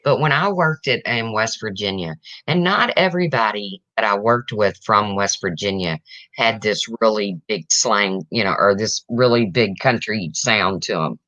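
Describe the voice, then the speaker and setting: A woman from the US Midwest with a deep, raspy voice, chatting bluntly as a podcast co-host. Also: moderate pace, fairly bright tone, no room sound, highs cut off. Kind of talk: casual chat.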